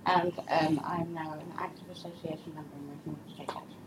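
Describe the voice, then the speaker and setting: A woman with a lowish voice introduces herself, wandering a little and restarting her sentences. Clear room noise is heard behind her. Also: steady tone, a little fast. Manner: meandering, with restarts. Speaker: association member. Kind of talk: round-the-table introduction. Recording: noisy meeting room.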